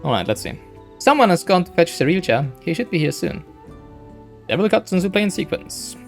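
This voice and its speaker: A man's voice: high-pitched, big pitch swings, a little fast, creaky, soft background music. A man streaming a game, chatting in a rambling casual way; English is not his first language. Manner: rambling, chatty, keeps adding "right?". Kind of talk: casual let's-play commentary.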